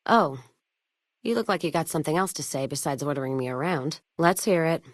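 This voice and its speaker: Low-voiced, tough wasteland caravan woman. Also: expressive, fairly quick, dry recording, clean studio sound. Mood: gruff, sardonic. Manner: blunt, sardonic, hard-bitten. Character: tough, foul-mouthed, whiskey-drinking woman caravan owner of the wasteland. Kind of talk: video game character voice lines.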